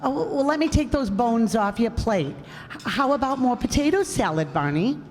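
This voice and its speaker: A woman playing a lively, nagging wife. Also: a little high, moderate pace, close to an everyday voice. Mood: fussy. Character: nagging, fussy wife and hostess. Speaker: amateur radio actor. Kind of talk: old-time radio comedy acting. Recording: live stage hall reverb.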